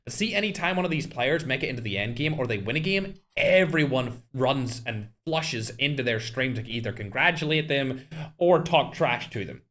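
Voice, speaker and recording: A man's voice: mid-range voice, moderate pace. A young man hosting and casting a mobile game tournament on a live stream, chatting straight to his viewers. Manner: chatty, talks straight to chat, animated bursts. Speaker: tournament host and caster. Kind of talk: live-stream esports commentary.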